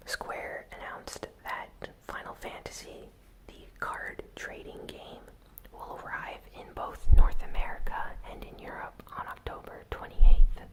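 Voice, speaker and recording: Whispered voice, ASMR news host, soft delivery, gentle pauses, breath-only speech. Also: poor audio. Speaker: host of a gaming news channel.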